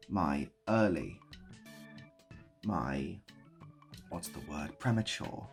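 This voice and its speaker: A man talking casually to camera in a rambling, self-deprecating way with deadpan whimsy. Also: sits low, gravelly. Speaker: video creator and host. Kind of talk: casual improvised monologue to camera.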